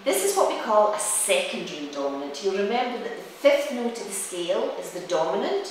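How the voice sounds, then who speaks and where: A woman presents a music lecture in a reflective, storytelling way, explaining as she goes. She is recorded at some distance from the microphone in a highly reverberant room. A faint layer of noise sits under her medium-pitched voice. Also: very animated, fairly quick. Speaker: presenter, cellist and music teacher. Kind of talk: spoken lecture-demonstration on music.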